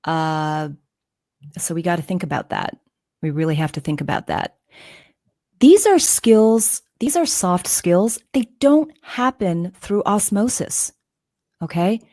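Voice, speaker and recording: A middle-aged woman with a low, measured voice, speaking at an unhurried pace. She answers interview questions earnestly, leaning into her points with emphatic storytelling. The sound is close and dry. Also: big pitch swings, mellow, no room sound. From Canada. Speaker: guest, former news anchor.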